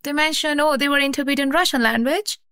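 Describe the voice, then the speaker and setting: A woman from Pakistan talks through her research in an earnest, explanatory way, circling back over her points. Her voice sits a little high for a woman. It is recorded close and dry, with no room sound. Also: fast talker, bright, forceful tone, no room sound. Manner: earnest, explanatory, repeats phrases. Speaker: researcher, guest. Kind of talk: academic conversation.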